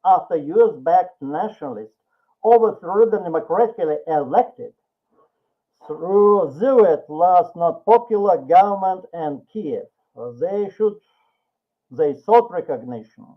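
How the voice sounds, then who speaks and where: An older man with a Russian accent giving a formal, deliberate presentation as an academic panelist over an online call. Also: high voice, animated. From Soviet Russia. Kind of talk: prepared seminar presentation. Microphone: video call. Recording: tinny phone-like online call.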